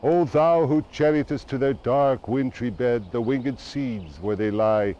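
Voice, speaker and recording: A man giving a dramatic poetry recitation in a solemn, declamatory manner with deliberate phrasing. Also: medium pitch, mellow, muffled recording. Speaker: poetry reader.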